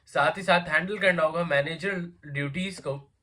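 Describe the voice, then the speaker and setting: A man's voice: fairly high pitch, normal speed, sharp tone. Hindi-speaking man with a sore throat. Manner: chatty, repetitive fillers, conversational address to viewers. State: sore throat, coughing now and then. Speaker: job vacancy channel host. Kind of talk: casual explainer, Hindi mixed with English.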